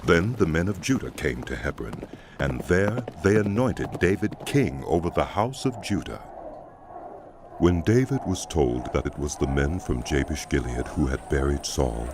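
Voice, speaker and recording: A man narrating dramatized scripture in a solemn, measured way, phrase by phrase, over music. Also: a bass voice, lively intonation, noisy background. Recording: loud music bed under voice.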